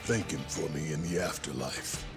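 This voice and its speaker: A cruel yakuza villain who used to box, in a video game. The voice is low and menacing, and the delivery is smug and taunting, gloating over enemies. Music plays underneath. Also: male voice, moderate pace. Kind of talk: dramatic video game cutscene acting.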